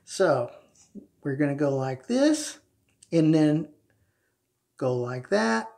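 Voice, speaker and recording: A man in his fifties presenting an instructional demonstration to camera in a deliberate, emphatic way, recorded in a home bathroom. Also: fairly high pitch, big pitch swings, vocal fry, a little mellow. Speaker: presenter of a wet shaving channel. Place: small tiled home bathroom, indoors.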